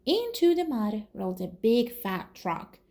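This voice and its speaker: A woman reading a children's storybook aloud as a toddler teacher, in an expressive manner. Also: a little high, vocal fry. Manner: slow, animated, expressive.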